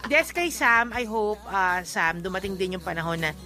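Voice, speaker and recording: A woman's voice: medium pitch, animated, moderate pace, music in the background. A woman with a Filipino accent co-hosting a radio show, chatting casually and giving relationship advice in a playful, teasing, slangy way, switching between languages. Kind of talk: casual relationship advice chat with Tagalog-English code-switching.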